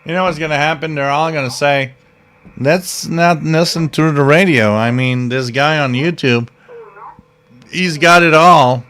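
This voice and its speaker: Guy rambling on a live radio show, slow and smooth, lots of ums and restarts. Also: fairly high pitch, fairly bright tone. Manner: chatty, rambling storyteller with many fillers and restarts; laughs at his own stories. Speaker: co-host of a live shortwave radio stream. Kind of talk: casual rambling chat.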